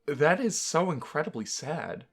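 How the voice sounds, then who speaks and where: A man's voice: fairly high pitch, sing-song, a little slow, fairly bright tone, faint music behind. A man hosting a let's-play, bantering teasingly and reading a visual novel game aloud.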